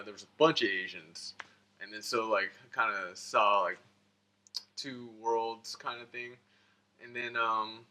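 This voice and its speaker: Interview guest: American male, lower register with a sharp edge, loose conversational rambling. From United States (Florida and Georgia). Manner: rambling, informal, peppered with "like".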